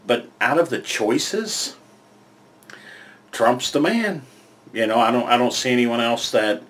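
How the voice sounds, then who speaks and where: An older American man speaks into a webcam from a small room at home. His voice sits a little low, and he rambles along with plenty of fillers and repeated words. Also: fairly bright tone. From United States. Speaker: vlogger giving political opinions. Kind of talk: casual monologue to camera. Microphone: webcam framing, head and shoulders.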